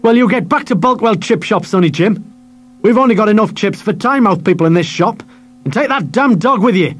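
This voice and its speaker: A man voicing a nasty, bullying male chip-shop owner who shouts and sneers. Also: high-pitched, expressive, fairly quick, pitched above the performer's own voice. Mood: sneering.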